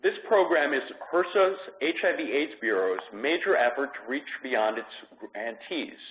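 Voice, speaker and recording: A man's voice: medium pitch, slight background noise. Guy hosting a web conference, reading off a script, sounds like a narrow phone line. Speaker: web conference host and presenter. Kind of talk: scripted presentation. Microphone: telephone conference call.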